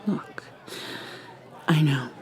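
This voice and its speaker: A woman voicing an ageless, secretive female vampire mermaid in an ASMR roleplay, soft-spoken and often whispering, with halting restarts. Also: a faint crowd. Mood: wistful.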